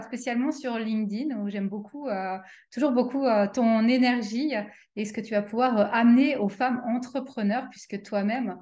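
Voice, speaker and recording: A woman's voice: a little high, warm tone. A middle-aged woman hosting a casual interview, speaking with many fillers and restarts. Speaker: interview host and coach.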